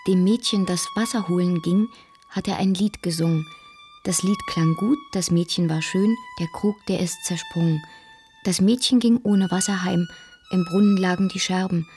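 A woman's voice: on the low side, steady tone, normal speed. A woman reciting folk-like verse in a lyrical radio-play style. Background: loud violin music.

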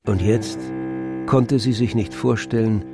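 A man narrating a literary radio play in a measured, composed manner. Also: fairly low pitch, steady tone, over music.

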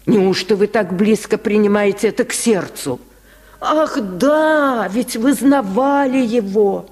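A man voicing a kindly, practical neighbour woman in a radio storybook reading, solicitous and coaxing, with a husky voice. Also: sits high, slow pace, a little mellow, pitched above the performer's own voice. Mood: concerned. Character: kindly, fussing, practical lame woman, washerwoman's friend. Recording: old radio recording with hall reverb.

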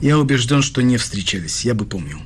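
A man's voice: medium pitch, big pitch swings, brisk, a little hiss or hum, close to an everyday voice. An actor playing a polite young male doctoral researcher in a radio play, a guarded interviewer who speaks courteously and a little hesitantly. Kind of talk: dramatic radio dialogue.

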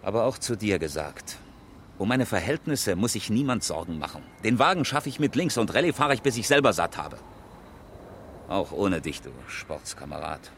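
An actor playing a proud, insistent engineer and amateur rally driver in a radio crime drama, brisk and probing. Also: male voice, lowish voice, sing-song, slight background noise. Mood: challenging, indignant. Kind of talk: 1970s German radio crime drama acting.

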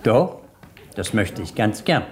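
An actor in a German radio drama playing a shrewd, controlled police inspector, measured and dry in his speech. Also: fairly low pitch, sing-song. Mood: probing, controlled.

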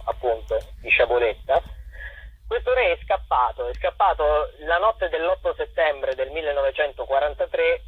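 A man's voice: mid-range voice, slightly rough. A young man from Abruzzo in Italy, an actor and playwright, explaining his work in a conversational interview over the telephone. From Chieti, Abruzzo, Italy. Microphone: phone call. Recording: tinny, roomy telephone line on radio.

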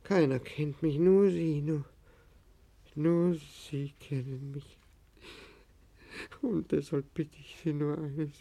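Frail hunted old man, really gravelly, a bit high but muffled dark tone, halting, pleading, old radio play. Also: fairly even intonation, exaggerated voice. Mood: exhausted pleading. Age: older. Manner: halting, repeats words, pleading. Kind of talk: 1950s German radio play acting. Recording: 1950s radio play recording.

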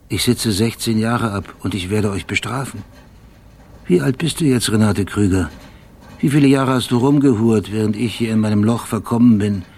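An actor playing an obsessive, brooding police detective in a radio drama, speaking in a deliberate, intense, literary way. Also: male voice, lowish voice, a little mellow, natural voice. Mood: bitter, menacing. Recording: clear background noise.

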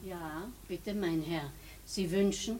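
A woman's voice: mid-range voice, fairly even intonation, slow pace, music in the background, lightly acted, rough recording. An actress in a radio play playing a chatty, sympathetic female landlady. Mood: polite.

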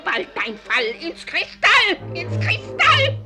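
A woman playing an ugly, spiteful old apple seller in a radio play, shrill and full of curses. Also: huge pitch swings, slow pace, music in the background, heavily acted. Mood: menacing chanting. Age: older. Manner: shrieking, chanting curses. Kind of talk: German radio play acting.

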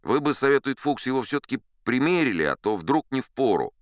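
A man's voice: medium pitch, sing-song, no echo, studio quality, voice pushed up, natural voice. A man voicing an eager, resourceful male first mate in a storybook reading. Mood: advising. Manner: brisk, enthusiastic.

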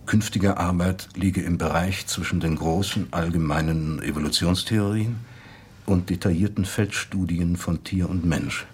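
A thoughtful man in a reflective radio play, looking back on a friend. His voice is low and very husky, and he speaks in measured, articulate phrases. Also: steady tone. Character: thoughtful man recalling a late intellectual friend. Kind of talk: reflective radio drama monologue.

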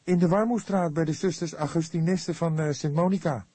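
A man's voice: fairly high pitch, steady tone, a little fast, dark tone, breathy edge, no echo, pitched above the performer's own voice. Man acting a keen young detective, lifted voice. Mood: earnest, kindly. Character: eager, dutiful young male police detective.

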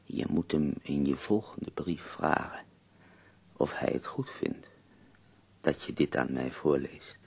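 Ailing poet, very low gravelly voice, husky, tender, wry, formal, radio drama. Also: male voice, slow pace, long pauses, a little mellow, full character voice. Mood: tender, weary. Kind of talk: Dutch radio play acting.

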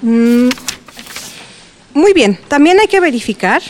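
A woman playing a composed professional lawyer who explains things formally and in measured terms in a radio drama. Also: sits high, sing-song, slight background noise, exaggerated voice. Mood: measured, advising.

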